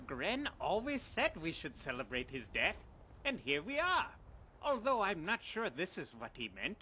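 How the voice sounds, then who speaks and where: Give the voice of a jovial, absent-minded man played by an actor in a fantasy game. He rambles in a high, wildly swooping voice. There is faint noise behind him. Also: wildly swooping pitch, moderate pace, pushed and bright, full character voice. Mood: wry, chatty. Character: jovial scatterbrained husband chattering at a party. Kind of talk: video game character dialogue.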